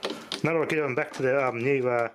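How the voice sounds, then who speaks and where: A man's voice: medium pitch, steady tone, normal speed. Australian man, casual talk over background noise. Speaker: host of a repair channel. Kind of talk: casual unscripted demonstration talk.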